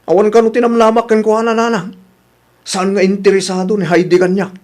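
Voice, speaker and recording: A man's voice: high voice, flat delivery, brisk, a little mellow, close to an everyday voice. A man acting a vain, easily wounded young suitor in a radio drama. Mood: sulky, offended.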